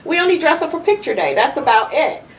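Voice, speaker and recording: A woman radio co-host talking in an animated, protective way during a casual interview. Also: sits high, fast talker, a little hiss or hum.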